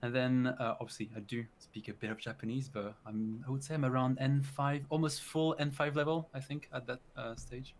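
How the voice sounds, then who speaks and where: A man's voice: medium pitch, steady tone, fairly quick, few pauses. Young man, chatty and laughing. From France. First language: French. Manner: friendly, laughs often, chatty. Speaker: guest, language YouTuber. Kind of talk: casual interview chat.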